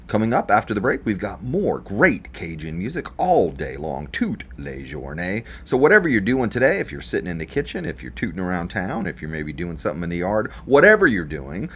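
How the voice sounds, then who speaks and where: A man's voice: low voice, wildly swooping pitch, fairly quick, hardly any pauses, a bit bright, husky. A man presenting a radio show in a folksy, chatty showman's manner, addressing listeners directly with dramatic repeats.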